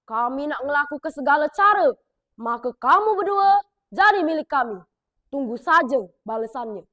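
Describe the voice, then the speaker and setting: A female storyteller voicing two brash young village men who banter loudly with a South Sumatran Malay accent. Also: sits high, lively intonation. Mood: defiant. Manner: brash, boisterous, fast banter.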